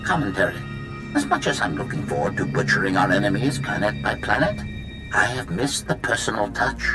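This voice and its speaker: An actor voicing a bloodthirsty assassin droid in a video game, formal and clipped, with cold sardonic relish. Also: male voice, on the low side, very animated, fairly quick, over loud music. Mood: gleeful menace. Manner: announces speech type before sentences, clipped and formal.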